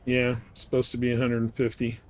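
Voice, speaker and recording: A man's voice: mid-range voice, monotone, fast talker. Casual male game streamer, some background noise. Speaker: live streamer. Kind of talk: casual gaming stream commentary.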